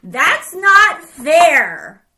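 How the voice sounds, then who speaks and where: Greedy old grandma, loud and demanding, performed by a woman storyteller for a folk tale. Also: high voice, sing-song, extremely slow, pitched above the performer's own voice, full character voice. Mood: furious.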